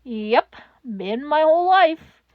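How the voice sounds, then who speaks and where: A woman voicing a kind, earnest sixteen-year-old boy who answers a crisis hotline in a story, gentle and reassuring. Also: high-pitched, lively intonation, slow pace, dry recording, highs cut off.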